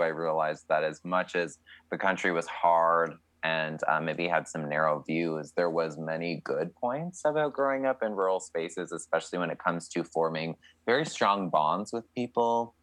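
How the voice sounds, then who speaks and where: A man's voice: sits low, a bit bright, no room sound. A young man from Canada, a country singer, answering interview questions in a chatty, candid way with many fillers.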